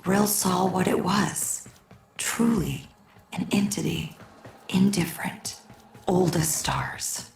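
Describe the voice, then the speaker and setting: A grave mystic woman speaks with deep fry in her voice. Her delivery is reverent and sometimes hushed, as in a game drama. The voice echoes over faint music. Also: slow, long pauses, in a whisper, heavily acted. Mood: solemn, then ominous. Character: solemn mystic woman guarding a lost child's memory. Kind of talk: dramatic video game acting.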